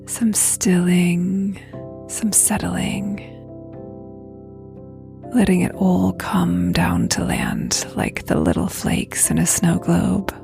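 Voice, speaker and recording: A woman guiding a prayer meditation in a soft, breathy near-whisper, with gentle, unhurried, spacious phrasing. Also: lowish voice. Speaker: guided meditation leader, host. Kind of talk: guided prayer meditation, slow invitations.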